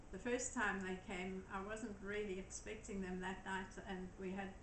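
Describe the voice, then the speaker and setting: Older Zimbabwe-born woman, flat even voice. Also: medium pitch, moderate pace. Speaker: guest. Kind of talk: storytelling interview answers. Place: daughter's home. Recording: echoey room with noise.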